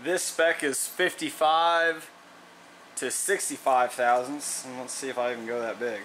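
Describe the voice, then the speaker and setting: A man's voice: medium pitch, steady tone, a little slow, a bit bright, slight background noise, poor audio. A man casually narrating an engine rebuild in a workshop, thinking aloud with fillers and restarts as he measures parts. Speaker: mechanic demonstrating an engine rebuild. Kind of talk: casual how-to narration while working. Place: workshop garage.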